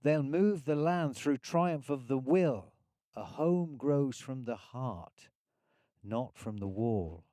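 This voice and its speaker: A man reciting poetry with deliberate, rhythmic phrasing. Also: mid-range voice, animated, warm tone, no echo, studio quality. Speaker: poetry reader.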